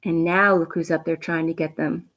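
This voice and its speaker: A woman reading a picture book aloud to children, pausing with teacherly questions and emphatic asides. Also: deep voice, flat delivery, a bit bright, a little hiss or hum. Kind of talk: read-aloud bedtime story with asides and questions to young viewers.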